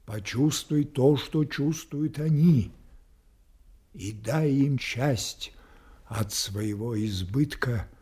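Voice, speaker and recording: An actor playing an old, deposed king losing his reason, declaiming with halting, weighty anguish in a theatrical radio drama. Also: mid-range voice, dark tone. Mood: brooding sorrow. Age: older.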